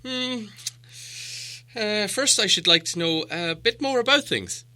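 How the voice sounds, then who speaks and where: A fussy little hobbit gentleman played by a male reader, pitched well up and very bright. He is flustered and hesitant yet stubborn, putting on a prim business manner for the storybook. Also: animated, measured pace, heavily acted. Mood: confused, hesitant. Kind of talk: audiobook character dialogue.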